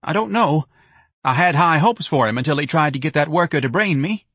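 A man voicing a timid, flustered male office manager with a shrill, quavering voice in an audiobook. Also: expressive, brisk, fairly bright tone, slightly rough, dry recording, studio quality, heavily acted. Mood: uncertain. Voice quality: shrill, piping. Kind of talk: audiobook character voice.

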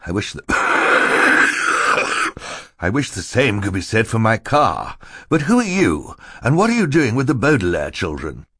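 A man reading an audiobook as a fussy, stern, easily flustered gentleman who admonishes pompously. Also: fairly low pitch, huge pitch swings, normal speed, slightly rough, no room sound, studio quality, voice pushed up, exaggerated voice. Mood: put out. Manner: admonishing, pompous, punctuated by coughing. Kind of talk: audiobook character acting.

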